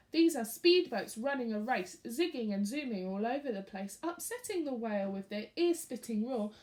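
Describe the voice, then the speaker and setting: Animated, higher-pitched woman reading a children's story. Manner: animated, pausing to put questions to young listeners. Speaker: storyteller reading a picture book to young children. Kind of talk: expressive read-aloud of a rhyming children's story.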